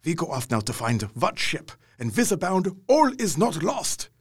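An actor playing Van Helsing, an elderly vampire hunter, speaking in broken, grandly declaimed English. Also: male voice, fairly high pitch, big pitch swings, moderate pace, husky. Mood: resolute. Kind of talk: audio drama acting.

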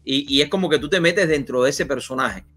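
A man's voice: fairly high pitch, a little fast, fairly bright tone. A man hosting an interview, talking in a rambling, opinionated way with many fillers. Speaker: interview host. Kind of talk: conversational interview.

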